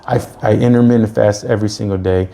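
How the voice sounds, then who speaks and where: Gravelly man in his forties, pep talk. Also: lowish voice, steady tone, fast talker, mellow. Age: 40s. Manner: earnest, repeats himself, pep-talk style. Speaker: podcast host. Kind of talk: casual motivational chat.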